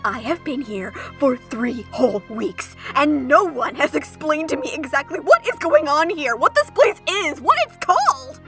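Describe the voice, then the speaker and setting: A woman's voice: sits high, big pitch swings, normal speed, hardly any pauses, a bit bright, faint music behind, heavily acted. A woman playing a timid, bewildered young schoolgirl with an earnest, flustered manner in a cartoon dub. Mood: exasperated.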